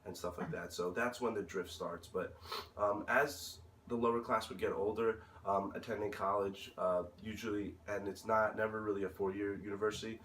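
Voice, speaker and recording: Low-voiced young American man, hesitant, in a roomy space. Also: fairly even intonation, a bit bright. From United States. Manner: hesitant, halting, searching for words. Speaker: interviewee. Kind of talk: informal interview answers. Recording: roomy, distant mic, faint noise.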